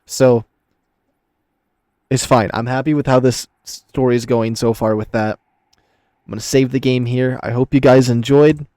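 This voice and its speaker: A man's voice: mid-range voice, fairly even intonation, normal speed, long pauses, warm tone, no echo, clean studio sound. A man narrating a visual novel and commenting on it casually as a gaming video host.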